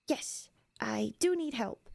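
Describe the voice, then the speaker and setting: High-pitched, playful elf girl acted by a woman. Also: creaky, exaggerated voice. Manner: coaxing, teasing, bookish. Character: curious, playful, scholarly young female elf forest warden. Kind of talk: ASMR roleplay acting.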